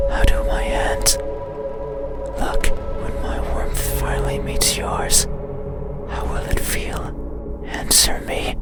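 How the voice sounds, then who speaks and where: Man as whispering, lonely creeping monster. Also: lightly acted. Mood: menacing curiosity. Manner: slow, hushed, brooding, deliberate whispering. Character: lonely, curious, creeping creature stalking a sleeper. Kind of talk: whispered ASMR horror game monologue.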